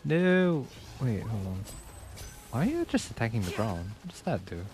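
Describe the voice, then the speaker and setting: A man's voice: mid-range voice, sing-song, moderate pace, a little mellow. A man giving casual, slangy live reactions as a game streamer, often in short exclamations. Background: game music.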